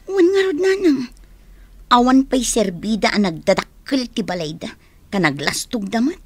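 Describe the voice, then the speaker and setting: A Filipino woman acting in a radio drama as an excitable, complaining young village woman. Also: fairly high pitch, normal speed, breathy edge, no echo. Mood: gossipy, aggrieved.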